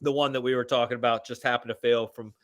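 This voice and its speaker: A man's voice: mid-range voice, flat delivery, fast talker, no echo, clean studio sound. A man in his forties co-hosting a casual podcast, crude and joking as he rambles through his segment. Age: 40s. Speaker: podcast co-host. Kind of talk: casual banter.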